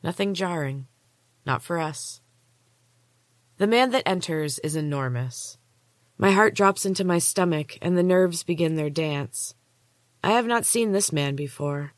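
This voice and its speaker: A woman reading a first-person story aloud as author and host, in a measured, hushed, dramatic narrating style. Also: on the low side, expressive, no room sound. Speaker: author and podcast host reading own book.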